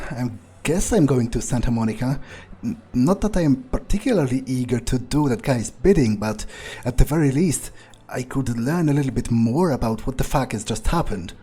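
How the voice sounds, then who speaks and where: A man improvising in-character commentary on a video game, thinking aloud in a halting, sweary way with a husky, mellow voice. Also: mid-range voice, expressive. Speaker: gaming video player.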